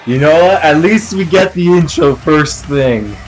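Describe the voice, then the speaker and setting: A young man with a slightly stuffy, sniffly voice from a cold, hosting an anime reaction with rambling, excitable commentary full of fillers and restarts. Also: on the high side, measured pace, faint music behind, poor audio.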